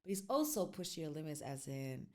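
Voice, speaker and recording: A young woman guest singer in a relaxed interview, rambling with lots of fillers. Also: low-pitched, fairly quick. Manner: relaxed, rambling with many "like" and "you know". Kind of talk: casual interview chat.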